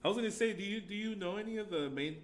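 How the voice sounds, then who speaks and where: A man's voice: high voice, rapid-fire, slight background noise. A young man hosting a casual podcast chat, easygoing and slangy, often ending lines with "bro.". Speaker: podcast host.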